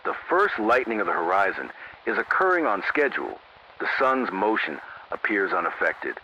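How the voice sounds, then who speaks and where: A man playing a sober local AM radio announcer in an analog horror emergency broadcast, speaking in a grave, measured, formal way through a radio filter. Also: lowish voice, big pitch swings, pushed and bright, heavily acted. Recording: narrow-band AM radio with static noise.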